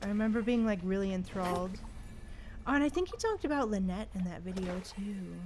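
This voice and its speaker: A woman livestreamer chatting casually in a slangy, animated way while gaming. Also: medium pitch, fairly quick, a little hiss or hum. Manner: chatty, animated retellings with frequent slangy exclamations.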